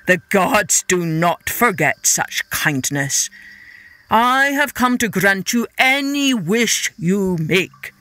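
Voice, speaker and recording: A woman voicing a solemn, stately male god from a myth, speaking in measured phrases. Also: mid-range voice, animated, sharp tone, dry recording.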